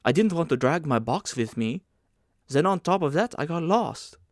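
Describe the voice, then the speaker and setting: A man's voice: fairly high pitch, lively intonation, normal speed, dry recording, clean studio sound, pitched above the performer's own voice, lightly acted. A man voicing a naive, anxious, polite young male emigrant with an earnest, eager manner in an audiobook. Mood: flustered, worried. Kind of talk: audiobook character acting.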